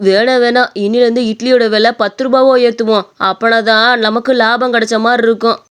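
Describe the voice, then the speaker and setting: A woman's voice: a little high, level tone, a little fast, smooth, natural voice. A woman voicing a brash, petulant and demanding young man in a story. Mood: scheming. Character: brash, demanding young man, idle son of idli seller.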